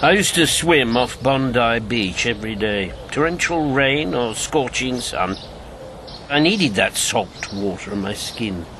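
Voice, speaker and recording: An actor playing a bitter, haunted older former secret agent in a radio drama, wry and brooding. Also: male voice, medium pitch, lively intonation, a little slow, noisy background, lightly acted. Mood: haunted, reflective.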